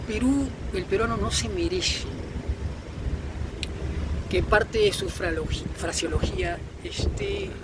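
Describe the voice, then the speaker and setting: A man's voice: sits high, slow, pauses often, heavy background noise. A man from Peru with a Peruvian accent, giving an opinionated informal monologue in an emphatic, repetitive way with florid vocabulary. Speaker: video host.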